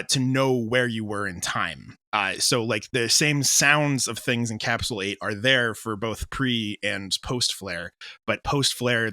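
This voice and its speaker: A man's voice: fairly low pitch, sharp tone, no room sound. A man chatting casually as an interview guest, explaining his creative work.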